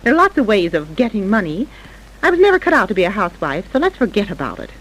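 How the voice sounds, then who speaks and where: A woman's voice: mid-range voice, very animated, quick pace, rough recording. A woman acting a callous, defiant young dancing girl in a vintage radio drama, with dismissive, cutting retorts. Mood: breezy, dismissive.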